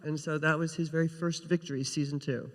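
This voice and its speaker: A woman's voice: low-pitched, steady tone, brisk. Woman storyteller in an echoey hall. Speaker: television writer, panel guest. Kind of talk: anecdotal panel storytelling. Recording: echoey hall with long reverb.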